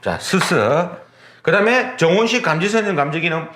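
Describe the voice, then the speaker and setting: A man's voice: high-pitched, expressive, normal speed, pushed and bright. A man teaching an exam prep lecture, repeating key terms and talking through demonstrations. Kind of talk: recorded lecture. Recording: roomy lecture recording, faint noise.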